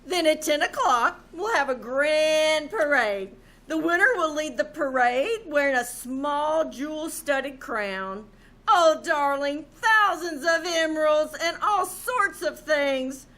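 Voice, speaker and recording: Gushy rich hostess, old radio comedy, really high voice, takes her time, coy and theatrical. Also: animated, slow pace, bright, forceful tone, full character voice. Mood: breezy, self-important. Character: gushing, flirtatious, vain wealthy society hostess. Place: live small-town theater stage.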